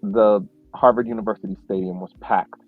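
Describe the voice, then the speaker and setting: Male podcast co-host, low-pitched, reminiscing with halting fillers; tinny phone-quality sound. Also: little pitch movement, no room sound, soft background music. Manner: reflective storyteller, frequent fillers and restarts. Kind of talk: casual podcast chat.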